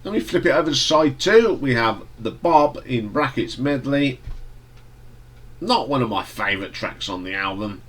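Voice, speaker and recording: A man with a stuffy nose from hay fever, casually reviewing an album in a relaxed, rambling way with fillers and restarts. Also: mid-range voice, moderate pace. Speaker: music reviewer.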